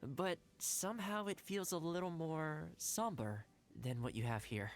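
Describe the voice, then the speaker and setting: A woman's voice: low voice, unhurried. A woman voicing a cheerful, easygoing young companion in a video game, chatty, friendly and considerate. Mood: gentle, reflective.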